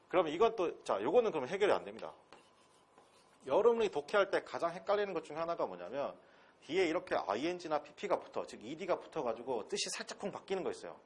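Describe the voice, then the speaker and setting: A man with a Gyeongsang dialect lectures an English class in a husky, slightly breathy voice. His pitch swings up and down freely as he fires rhetorical questions at the students. He keeps it blunt and casual, with some crude slang. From Pohang, South Korea. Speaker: English instructor at a transfer-exam academy.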